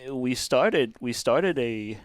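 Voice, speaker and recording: A man from Hong Kong speaks thoughtfully as a podcast guest. His pitch sits mid-range, and the sound is dry and close. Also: expressive, slow, dry recording. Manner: thoughtful, many fillers and restarts. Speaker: guest, YouTube channel co-host. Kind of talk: casual podcast chat. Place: dark studio set with leather chairs.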